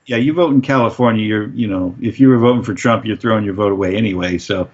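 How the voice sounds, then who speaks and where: A man's voice: on the low side, quick pace, darkish tone. A middle-aged man co-hosting a casual political chat, rambling and restarting his sentences with a chuckle here and there. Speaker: podcast co-host. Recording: slightly dull lo-fi recording.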